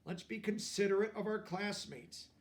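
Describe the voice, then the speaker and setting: A man's voice: sits high, normal speed, far from the mic. A man voicing a cool, friendly mouse schoolteacher in a storybook, playful yet composed as he addresses pupils. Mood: patient but firm.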